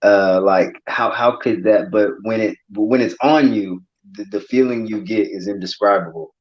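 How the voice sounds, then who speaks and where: A man's voice: lowish voice, normal speed. A man hosting a casual conversation, asking follow-up questions. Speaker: podcast host. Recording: dull lo-fi sound.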